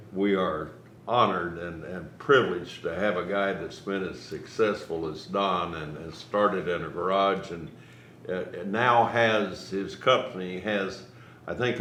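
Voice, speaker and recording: An older man giving informal closing remarks to an audience as host, in halting, deliberate phrases. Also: low voice, a bit bright. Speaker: event host, business mentor. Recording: echoey room with faint noise.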